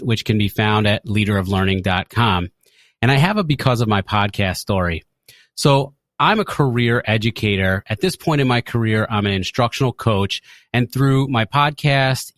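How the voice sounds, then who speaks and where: A man giving a prepared message as a contributing podcaster, in a measured, formally worded way. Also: on the low side, a bit bright, no echo, studio quality. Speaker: podcaster sending in a listener story.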